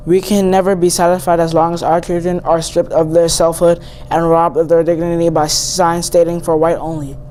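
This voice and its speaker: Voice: mid-range voice, mellow. A young teen student reading a famous speech aloud. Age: young teen, seventh grader. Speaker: student reader. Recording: noisy amateur recording.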